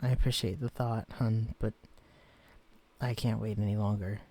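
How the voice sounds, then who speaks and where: An actor playing a shrunken man who is tender but guarded, talking in an earnest, vulnerable, softly confessional way in a scripted audio drama. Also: mid-range voice, natural voice. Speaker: romantic lead in an audio roleplay.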